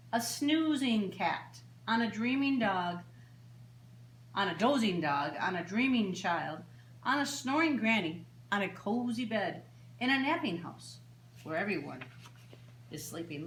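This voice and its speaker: A woman reading picture books aloud to young children in an animated, deliberate story-time style with simple, child-directed phrasing. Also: medium pitch. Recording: roomy echo, faint background noise.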